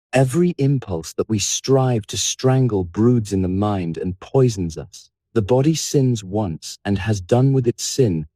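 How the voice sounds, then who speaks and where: A man voicing a languid, persuasive lord who philosophises eloquently and deliberately in a low, musical audiobook character voice. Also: mellow, no room sound.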